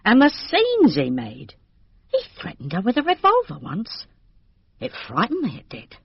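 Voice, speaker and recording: Radio drama: gossipy female servant, English accent, respectful yet confiding, highly animated pitch, dull dry recording. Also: moderate pace, creaky, husky, no echo, heavily acted. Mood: worried, confiding. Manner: deferential, confiding, colloquial tags. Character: gossipy, deferential English lady's maid.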